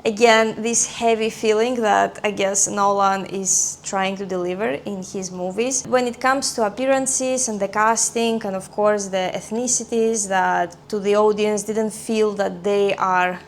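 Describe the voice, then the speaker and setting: A woman's voice: mid-range voice, steady tone, unhurried, few pauses, slight background noise. Greek woman chatting in a casual vlog. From Greece. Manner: chatty, thinking aloud with fillers and small restarts. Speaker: vlogger.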